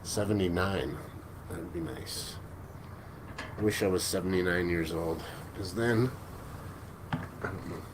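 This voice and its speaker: A man in his forties hosting a casual live stream, chatting with viewers in a relaxed, joking way. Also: deep voice, unhurried, long pauses. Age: 40s. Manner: laid-back, joking, chuckles often. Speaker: livestream host. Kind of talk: casual live chat reading viewer comments. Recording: noticeable background noise.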